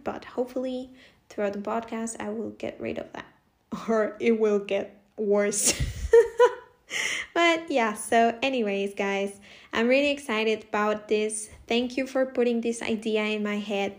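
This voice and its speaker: A woman in her twenties from Costa Rica, a native Spanish speaker, hosting a casual solo podcast in a chatty way, often laughing and restarting her sentences. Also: on the high side. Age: 20s. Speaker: podcast host.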